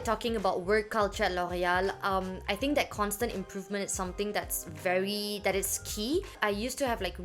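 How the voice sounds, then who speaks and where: A young woman talking casually to camera about her work experience, filling her speech with "like" and "um". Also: medium pitch. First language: not French. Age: young adult. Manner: chatty, many "like" fillers. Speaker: vlogger.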